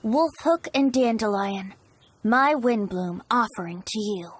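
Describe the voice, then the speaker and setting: A woman's voice: on the high side, expressive, a little slow, dry recording. A woman voicing a playful, teasing young male bard in a video game, theatrical and eloquent with a lilting delivery. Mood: poetic, admiring.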